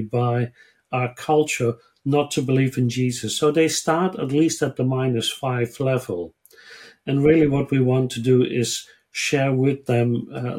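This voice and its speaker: Husky man, about sixty. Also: medium pitch, darkish tone. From Netherlands. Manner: measured and earnest, pausing to find words. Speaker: guest, ministry worker and lecturer. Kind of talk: interview answers, personal storytelling.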